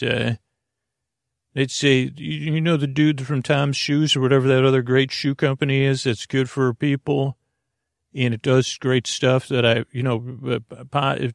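A man hosting a bedtime podcast with a meandering, halting, goofy and friendly monologue in a gravelly voice. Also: medium pitch, no room sound, studio quality. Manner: meandering, halting, trails off mid-word. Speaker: podcast host. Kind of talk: rambling, unscripted bedtime monologue.

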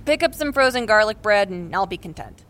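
A woman's voice: mid-range voice, animated, fairly quick, fairly bright tone, close to an everyday voice. A woman acting a loyal, dry, teasing best friend in her thirties in a naturalistic audio drama. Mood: playful.

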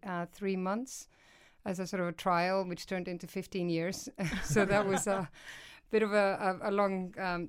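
Woman, smooth low voice. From Iceland. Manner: thoughtful, with frequent restarts and soft laughs. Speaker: guest, news executive. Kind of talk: conversational interview answers.

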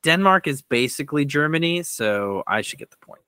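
Animated, bright-voiced man in casual banter. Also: on the high side, a little fast. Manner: joking, animated guesser. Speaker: podcast co-host.

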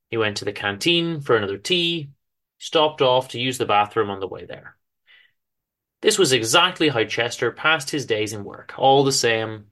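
A man giving a dramatic reading of a short story, in a dry, measured, formal manner. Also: mid-range voice, bright, forceful tone. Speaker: author-narrator reading his own story on a podcast.

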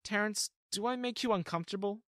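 A man's voice: high-pitched, fast talker, fairly bright tone, dry recording, studio quality, pitched above the performer's own voice. A man voicing a scheming, flirtatious middle-aged woman who talks in a wheedling, insistent way. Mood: coy.

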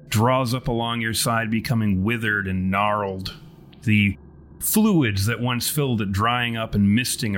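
A man's voice: on the low side, a bit bright. Theatrical male game master, noisy recording. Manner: florid, theatrical phrasing. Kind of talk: dramatic tabletop game narration. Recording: noticeable background noise.

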